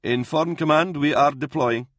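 A man voicing a brisk, composed army captain in an audiobook, giving clipped commands. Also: a little high, no room sound, studio quality, pitched above the performer's own voice, natural voice. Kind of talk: audiobook character voice in military science fiction.

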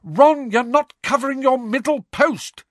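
A man's voice: sits high, big pitch swings, normal speed, a bit bright, breathy edge, dry recording, clean studio sound, voice pushed up, full character voice. A man doing a bossy, barking young team captain. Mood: exasperated. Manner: barking, commanding.

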